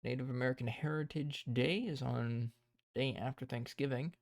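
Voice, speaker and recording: A man streaming casually, rambling and thinking aloud as he plans, his voice tired and croaky. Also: mid-range voice, steady tone, moderate pace, no room sound, clean studio sound. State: hoarse, tired voice. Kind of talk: casual livestream chat.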